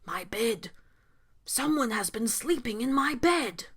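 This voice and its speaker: A woman takes on the part of a storybook father bear, bossy and dramatic. Announcements come slowly and emphatically, with big swings in pitch. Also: sing-song. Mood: hushed alarm. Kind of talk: storybook character voice.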